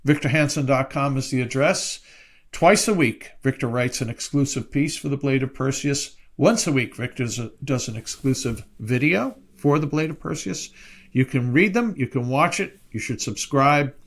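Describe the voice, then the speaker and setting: A man's voice: medium pitch, animated, normal speed. A man hosting a podcast, dropping short agreements into the talk and reading promos in an upbeat way. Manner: brief agreeing interjections, upbeat promo reads. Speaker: podcast host.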